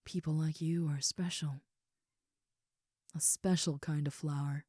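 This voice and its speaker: A woman playing a caring, affectionate young ogre roommate in an intimate comfort roleplay, speaking softly and sincerely in a rambling, reassuring way. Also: low voice, steady tone, measured pace, no echo, studio quality. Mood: tender, sincere.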